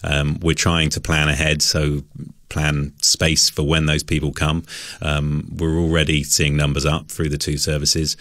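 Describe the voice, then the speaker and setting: A man's voice: very deep voice, monotone, measured pace, a bit bright, dry recording. A man speaking as a guest in a casual radio interview, rambling a little and feeling his way through answers in a self-effacing manner. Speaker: interview guest, church musician.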